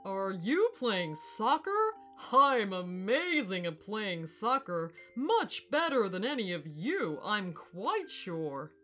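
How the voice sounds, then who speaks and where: A woman voicing a huge, smug, boastful male elephant in a children's storybook, booming and condescending. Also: very animated, slow pace, few pauses, a bit bright, soft background music.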